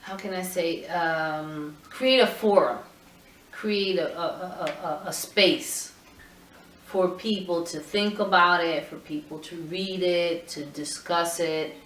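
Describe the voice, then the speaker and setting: Slow, low-voiced woman, halting and thoughtful. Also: fairly bright tone, a little hiss or hum. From Puerto Rico. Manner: thoughtful, halting, many restarts. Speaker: photographer. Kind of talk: interview answers. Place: indoor room with her camera collection. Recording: roomy sound, mic at a distance.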